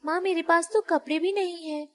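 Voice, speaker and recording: Woman putting on a very high girlish daughter. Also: fairly even intonation, moderate pace. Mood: hesitant. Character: poor young daughter, girlish, earnest and plaintive. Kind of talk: cartoon moral-story dialogue.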